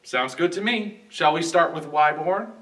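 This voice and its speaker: A man's voice: a little high, measured pace, a bit bright. A man giving scripted film commentary as a guest reviewer, recorded at a distance from the microphone. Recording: distant, off-mic voice.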